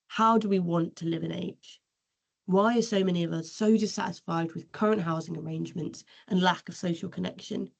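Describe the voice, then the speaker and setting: A woman presenting research over a video call, her voice sitting low with a gravelly fry at the ends of phrases. She speaks in an earnest, measured way. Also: highs cut off. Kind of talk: webinar presentation.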